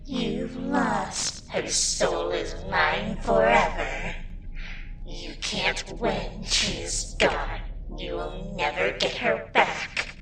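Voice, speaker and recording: A man voicing a sinister female demon who taunts and gloats in a raspy, drawn-out voice for a horror fan-fiction reading. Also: sits high, a loud crowd, pitched above the performer's own voice, exaggerated voice. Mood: gloating. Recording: distant echoing voice over loud music. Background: loud music.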